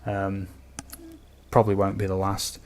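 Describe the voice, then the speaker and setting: A man with a fairly low voice that has a slight croak to it. He talks as a game streamer hosting a casual live chat. His manner is easygoing and chatty, addressing viewers like mates. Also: monotone, unhurried.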